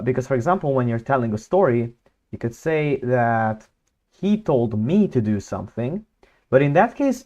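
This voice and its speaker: A man's voice: medium pitch, warm tone, highs cut off. A young man whose first language is Hungarian, chatting casually on a livestream and thinking aloud, hesitant with many fillers and restarts. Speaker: streamer.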